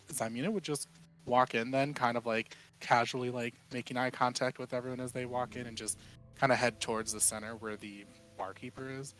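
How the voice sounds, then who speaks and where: A man's voice: medium pitch, normal speed, a bit bright, poor audio. A man describing his character's actions casually and a little hesitantly as a player in a tabletop roleplaying game.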